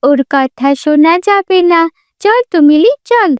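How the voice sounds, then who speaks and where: A woman voicing a boastful, excitable schoolgirl in a cartoon, coaxing and dismissive in manner. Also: very high-pitched, unhurried, no room sound, clean studio sound. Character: pushy, boastful schoolgirl obsessed with going viral. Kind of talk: cartoon voice acting.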